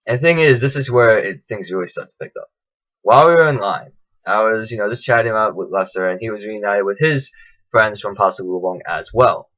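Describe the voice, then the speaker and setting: A man telling a casual, enthusiastic vlog recap in a chatty, animated way. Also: on the low side, fairly quick, muffled recording. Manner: animated, chatty, acts out quotes in raised voices. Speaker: vlogger.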